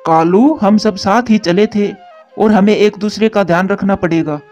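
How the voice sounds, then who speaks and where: A man's voice: high-pitched, normal speed, a little mellow, lightly acted. A man voicing a caring, responsible male pigeon in a children's moral story cartoon. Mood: reassuring. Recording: dull lo-fi track with music.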